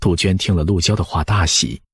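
A man's voice: deep voice, a little fast, slightly rough, no echo, studio quality. A man narrating an audiobook story from a script.

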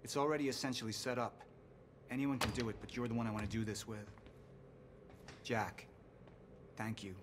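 An actor playing a driven, persuasive scientist in earnest, cinematic video game dialogue. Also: male voice, medium pitch, steady tone, normal speed, pauses often, background noise, close to an everyday voice. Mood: earnest, pleading. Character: driven, persuasive male scientist friend, secretly nervous. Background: music.

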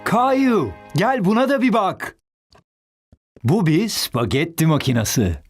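A man's voice: sits high, big pitch swings, takes it slowly, breathy edge, faint music behind, full character voice. A man voicing a friendly, patient, playful father in a children's cartoon.

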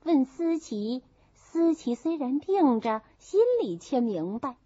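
Lady reader doing a pushy young maidservant complaining, high n husky, audiobook. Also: lively intonation, slow, warm tone, no room sound, full character voice. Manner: insistent, complaining. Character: young maidservant, indignant, outspoken, loyal. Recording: muffled, dull audio.

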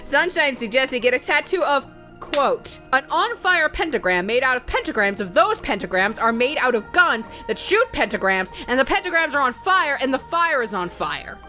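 A woman with a bright, fairly high voice recaps a video game as a let's play host. She tells it casually and theatrically, slipping into character lines and salty slang. Music plays underneath her voice. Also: big pitch swings, normal speed. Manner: giggly, theatrical, mimicking characters in quoted dialogue; profane, slangy.

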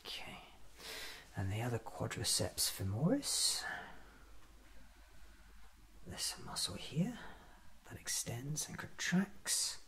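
A man's voice: medium pitch, huge pitch swings, takes it slowly, long pauses, pushed and bright, a little hiss or hum. A man performing a whispered ASMR roleplay, murmuring methodically to himself as an eccentric doctor examining his own body.

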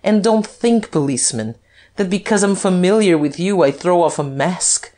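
A woman's voice: fairly low pitch, animated, moderate pace, dark tone, voice pushed up, natural voice. A woman voicing a cool, scornful aristocratic man who taunts with easy superiority in a dramatized audiobook. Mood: cool, light. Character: suave, cool, scornful aristocratic gentleman duke.

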